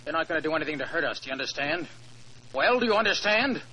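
Young race driver, stubborn and combative, highish forceful voice, vintage 1940s radio drama. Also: male voice, lively intonation, fast. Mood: insistent. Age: young adult. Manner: insistent, combative. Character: hot-headed, stubborn young male racing driver. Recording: noisy old radio recording.

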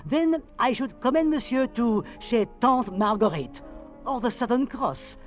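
An actor playing a polite, deferential French hotel waiter with a French accent in an old radio drama. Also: male voice, extremely high pitch, expressive, a little slow, soft background music. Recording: muffled vintage radio recording.

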